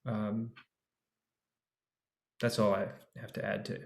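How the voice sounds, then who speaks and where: A man's voice: lowish voice, flat delivery, slow pace, gravelly, a little mellow, slight background noise. A man in his forties, an actor on a discussion panel, talking casually and searching for his words with many restarts. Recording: echoey room.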